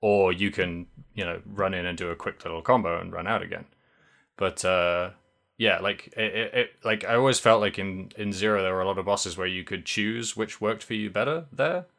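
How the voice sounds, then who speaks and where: A man's voice: sits low, moderate pace, vocal fry, fairly bright tone. A man co-hosting a podcast, chatting casually about video games and rambling through lots of restarts. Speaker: podcast co-host.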